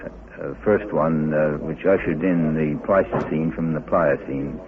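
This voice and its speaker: A man answering interview questions as an expert, in an emphatic, deliberate manner. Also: extremely low pitch, fairly even intonation. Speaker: interviewed researcher with a doctorate. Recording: muffled lo-fi tape, busy crowd behind.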